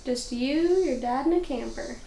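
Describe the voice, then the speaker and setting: A woman reading the part of a reflective, hopeful high school cheerleader in a table read. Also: normal speed, gravelly, dark tone. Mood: earnest, reassuring. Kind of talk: cold table read of a film script. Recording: roomy echo with faint noise.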